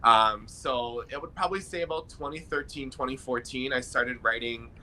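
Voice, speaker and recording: A young man with a very bright, forward tone chats as a guest on a podcast. He sounds easygoing and eager and doubles up words while he gathers his thoughts. A faint hiss sits under his voice. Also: mid-range voice, normal speed. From Toronto, Canada. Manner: easygoing and enthusiastic, repeats words as he thinks. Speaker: guest rapper. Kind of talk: casual interview chat.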